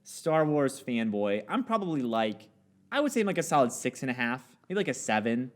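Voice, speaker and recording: A man's voice: medium pitch, very animated, moderate pace. A young man co-hosting a casual chat show, joking and playful, with lots of "like" fillers. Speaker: co-host.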